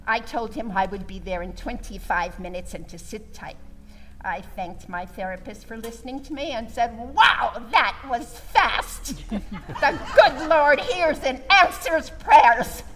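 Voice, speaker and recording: A woman performs a devout, melodramatic woman telling her story on a radio theater stage. She is judgmental and fervent, speaking slowly in a slightly high voice with exaggerated pleading. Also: animated, fairly bright tone, poor audio. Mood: chatty, relieved. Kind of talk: theatrical comic storytelling monologue.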